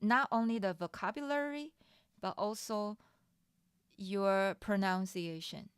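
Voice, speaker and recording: Slow-talking woman, Mandarin native. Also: medium pitch, smooth, dry recording, clean studio sound. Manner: unhurried and candid, with frequent restarts and light laughs. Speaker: streamer sharing her startup funding experience. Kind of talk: casual chat.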